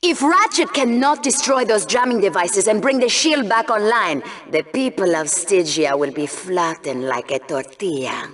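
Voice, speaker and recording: A woman's voice: medium pitch, lively intonation, normal speed, few pauses, fairly bright tone, natural voice. A woman playing a spiteful, sarcastic co-anchor in a video game's deadly game show, delivering polished mock news commentary with contempt. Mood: mock serious. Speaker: broadcast co-anchor.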